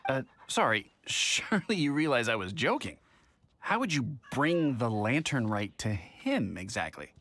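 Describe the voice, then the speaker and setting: A man's voice: mid-range voice, very animated, measured pace, a bit bright, full character voice. A man playing a genial, practical middle-aged innkeeper in a video game, chatty, thoughtful and polite. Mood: amused, pondering. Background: music.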